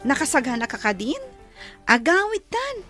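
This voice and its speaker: A woman's voice: high-pitched, very animated, moderate pace, over music. A woman playing a chatty, teasing young best friend in a Filipino audio drama. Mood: playful.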